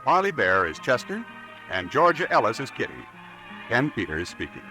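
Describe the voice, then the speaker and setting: A male radio announcer gives a crisp, formal closing over faint music. His pitch is lively and his tone bright. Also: moderate pace. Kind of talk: scripted announcement.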